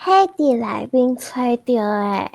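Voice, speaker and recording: A woman's voice: on the high side, expressive, slow pace, creaky, darkish tone, highs cut off, full character voice. A woman playing a playful little girl full of wonder in a children's radio drama, childlike and exclamatory, with a Taiwanese accent. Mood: excited, curious.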